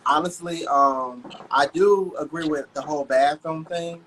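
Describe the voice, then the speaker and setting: A man's voice: on the high side, measured pace, fairly bright tone, slight background noise, rough recording. A man co-hosting a podcast, talking bluntly and emphatically in casual chat, with some joking. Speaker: podcast co-host.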